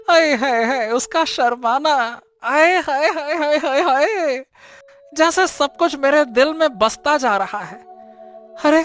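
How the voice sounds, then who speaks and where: A dramatic young exiled prince from a cartoon tale, acted by a woman, high and bright in tone. He is naive and excitable, stretching out and repeating his exclamations over background music. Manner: theatrical, hesitant, exclaiming with repeated words. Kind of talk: cartoon story voice acting.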